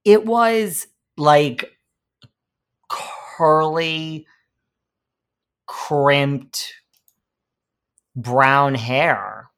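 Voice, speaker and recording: A man's voice: a little high, very slow, pauses often, fairly bright tone, smooth. Chatty man with a slight New York accent. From Connecticut and New York. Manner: chatty, rambling, campy asides. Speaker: podcast guest. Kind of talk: casual interview chat.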